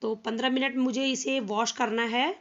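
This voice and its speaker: A young woman with a North Indian accent and a hoarse voice from a cold, casually explaining a household chore step by step for her home vlog. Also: fairly high pitch, fairly even intonation, normal speed, dull audio, background noise. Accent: Hindi-speaking North Indian. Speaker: home vlogger. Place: home, by a washing machine outdoors.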